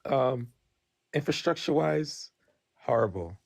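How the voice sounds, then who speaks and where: A man's voice: mid-range voice, expressive, a little slow, vocal fry, a little mellow, breathy edge, dry recording. A Nigerian-born man, talking bluntly in a casual podcast debate and tagging his points with "right?". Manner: candid, blunt, frequent restarts and "right?" tags.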